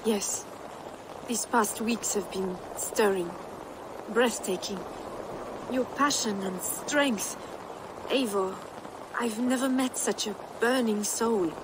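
A woman's voice: mid-range voice, takes it slowly, pauses often, noisy background, natural voice. A woman playing a passionate, wistful Frankish noblewoman in a video game, speaking with a French accent. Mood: yearning. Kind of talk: video game drama acting. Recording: background ambience under game dialogue.

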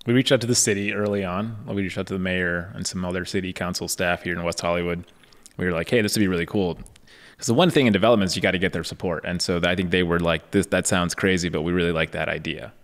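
Man, podcast host, deep voice, gravelly, casual storytelling, studio mic, reverb tail. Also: fast talker. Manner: relaxed storytelling with many "like" fillers. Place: podcast studio in a storefront building. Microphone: boom-arm studio microphone.

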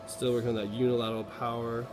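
A man coaches through workout moves in a plain-spoken, instructional way. His voice sits at a medium pitch and moves little in melody. Loud music plays behind him throughout. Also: flat delivery, fast. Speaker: fitness trainer, coach. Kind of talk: instructional exercise commentary.

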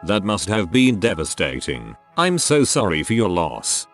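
Low-voiced man narrating stories. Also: big pitch swings, a little slow. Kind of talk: scripted narration of online stories. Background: background music.

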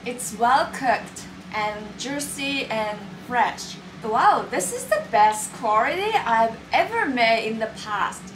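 A woman's voice: on the high side, expressive, far from the mic, noisy background. A young woman in her twenties chatting casually and cheerfully in a cooking vlog, recorded in a small room on a camera mic. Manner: cheerful, chatty, slightly halting. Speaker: cooking vlogger. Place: small room with curtain backdrop. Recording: roomy, mic set back, music underneath.

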